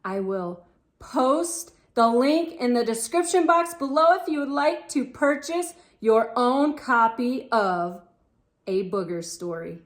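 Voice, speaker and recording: Female children's storyteller: high pitch, unhurried pace, expressive read-aloud delivery. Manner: theatrical, deliberate delivery with dramatic gasps and exclamations. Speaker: storyteller, channel host.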